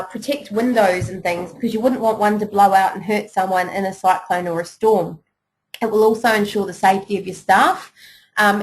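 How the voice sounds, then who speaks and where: A woman with a New Zealand accent talks through a webinar on business preparedness. Her delivery is conversational and encouraging, dotted with small fillers as she goes, and her voice sits at an ordinary middle pitch. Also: slight background noise, poor audio. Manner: chatty and encouraging, many "you know" and "um" fillers. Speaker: webinar presenter.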